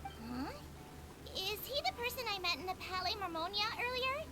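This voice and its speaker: A woman voicing a small, gentle female Melusine creature who talks in an earnest, sweet and eager way. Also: extremely high pitch, big pitch swings, normal speed. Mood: indignant. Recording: loud game music behind.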